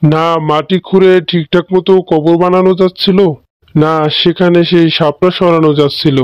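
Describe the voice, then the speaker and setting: A man narrates a scripted ghost story in a high, smooth voice. The sound is dry and a little lo-fi, with music underneath. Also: steady tone, moderate pace, no room sound.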